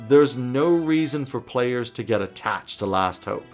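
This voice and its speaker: A man's voice: medium pitch, lively intonation, unhurried. Man in his thirties, music behind. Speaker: YouTube host giving game master tips. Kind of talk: scripted advice video to camera. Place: small home office, indoors.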